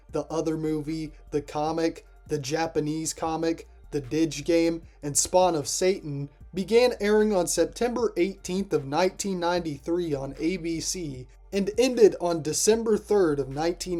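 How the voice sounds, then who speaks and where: Reviewer doing a scripted video essay voiceover, mellow soft-edged tone, medium pitch, faint music behind.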